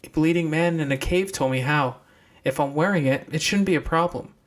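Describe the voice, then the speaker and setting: A man's voice: on the high side, fairly quick, lightly acted. Man voicing an anxious, determined young woman. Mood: anxious, earnest.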